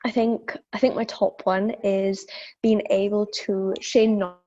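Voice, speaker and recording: A woman's voice: medium pitch, flat delivery, a little slow, mellow, highs cut off. A young woman from South Wales with a Welsh accent, answering interview questions as a fitness instructor, thoughtful and full of fillers and restarts.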